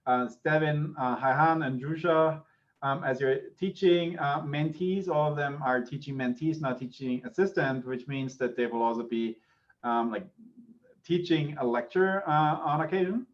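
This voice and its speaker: A man's voice: a little high, moderate pace, dull audio. A middle-aged man from Austria, a native German speaker with an Austrian accent, giving an informal online university lecture. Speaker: university lecturer, associate professor.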